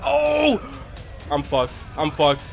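High, excitable young man gaming. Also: slow pace, faint music behind. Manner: loud excitable trash talk, swearing. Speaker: gamer streamer. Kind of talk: live gameplay reactions. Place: small room at home, indoors.

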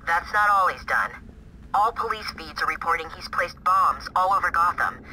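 A woman's voice: lowish voice, lively intonation, normal speed, sharp tone, a little hiss or hum, low-quality recording. A woman playing an alert, businesslike intelligence contact in a video game, urgent and matter-of-fact over a radio link. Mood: urgent briefing.

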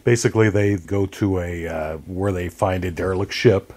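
A man talking casually as a podcast guest, explaining things in a halting way and correcting himself as he goes. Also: low-pitched, fairly even intonation, a little mellow. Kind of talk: casual conversational movie discussion, retelling a story.

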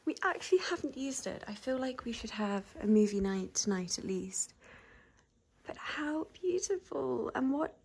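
A woman's voice: fairly high pitch, animated, moderate pace, gravelly, a little mellow, husky. A young woman chatting to her phone camera in a vlog, gushing and enthusiastic, full of "like" and "um". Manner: gushing, enthusiastic, many likes and ums. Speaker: lifestyle vlogger. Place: indoors in a ski chalet.

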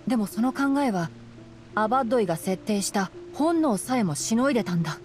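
Woman voicing a calm boyish fox-eared young scholar. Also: on the high side, lightly acted. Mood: thoughtful. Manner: measured, explanatory, boyish. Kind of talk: Japanese anime game voice acting. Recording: music behind the voice.

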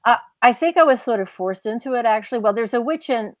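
A woman's voice: fairly high pitch, animated, quick pace, dry recording, studio quality. An older woman, an author being interviewed, talking reflectively and explaining things in a casual conversation on a video call. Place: indoors at home on a video call. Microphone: wired earbuds on a video call.

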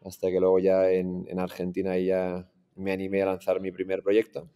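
A man in his forties with a Madrid Spanish accent tells his life story on a video call. His voice sits very low and stays flat and mellow. He talks in a relaxed way, with many restarts and fillers. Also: normal speed, smooth. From Iran, raised in Madrid. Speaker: startup founder guest. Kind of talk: casual interview storytelling. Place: in front of a green screen, indoors.

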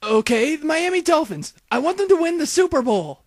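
A man's voice: very high-pitched, sing-song, fairly quick, heavy background noise. A man voicing folksy, theatrical small-town men with a Southern US accent in a parody cartoon dub. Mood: rueful storytelling.

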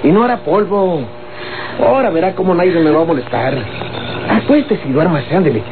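An actor playing a young rural Mexican grandson, evasive and placating, in a folksy, coaxing radio soap style. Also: male voice, sits high, lively intonation, fairly quick, a crowd in the background. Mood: reassuring. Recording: old tinny, noisy radio recording.

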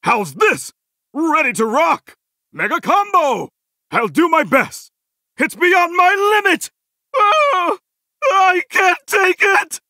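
A goofy middle-aged video game hero, earnest and over-eager. His voice sits very high and swings wildly in pitch, with a bright, forceful edge. Every short battle line comes out as a cheesy heroic exclamation. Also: huge pitch swings, takes it slowly, creaky, dry recording, studio quality, full character voice. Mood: battle fury.

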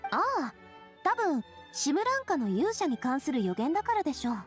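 A lively, high-voiced confident young woman. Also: moderate pace, over music. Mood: matter-of-fact. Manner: breezy, self-assured, casual. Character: Navia, confident cheerful young woman, boss made fairytale king. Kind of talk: Japanese anime game voice acting.

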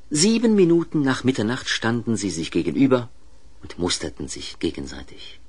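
A man narrating a children's audio play as a dramatic, deliberate storyteller with suspenseful pauses. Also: fairly low pitch, a little hiss or hum.

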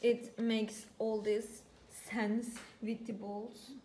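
A bubbly young woman with a high, bright voice, speaking as an as she exclaims over the work around her. Her casual tour chat comes through a camera mic, with clear background noise in a busy workshop. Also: level tone, very slow. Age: young adult. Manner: bubbly, exclaiming, laughs often. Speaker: studio vlog host. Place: painting studio workshop, indoors. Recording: noisy workshop recording.

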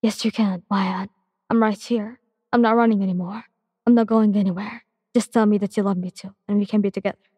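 Woman doing a pleading young male hero, breathy, emotional storybook read. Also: medium pitch, steady tone, brisk, mellow, no echo, studio quality, in a large hall, close to an everyday voice.

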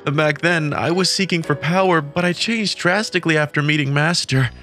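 A man's voice: on the high side, moderate pace, close to an everyday voice. Man playing an earnest, mild teenage boy. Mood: sincere. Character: earnest, kindly teenage boy narrating his story. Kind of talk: scripted narration and dubbed manga dialogue. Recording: music behind the voice.

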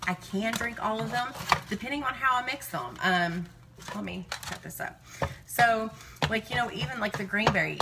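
A woman's voice: mid-range voice, noisy background. A woman in her thirties chatting casually and digressively to live viewers as she records in her home kitchen. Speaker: live-streaming vlogger and meal-prep host.

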